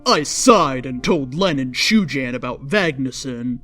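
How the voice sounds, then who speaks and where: A woman reading bad fan fiction aloud as a storyteller, with theatrical, drawn-out emphasis on key phrases, close to a microphone without a pop filter. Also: extremely low pitch, lively intonation, a bit bright. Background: faint music.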